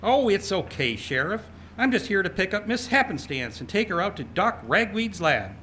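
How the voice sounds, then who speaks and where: Man as a breezy, animated small-town reporter. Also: sits high, moderate pace, exaggerated voice. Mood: chatty. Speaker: voice actor. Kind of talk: comic audio drama acting. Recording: noticeable background noise.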